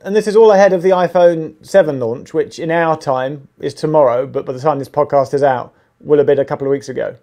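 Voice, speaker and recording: A man's voice: fairly high pitch, quick pace, few pauses, warm tone. A man in his thirties chatting casually about tech on a podcast from a room at home. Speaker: podcast panellist. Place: small home room, video call.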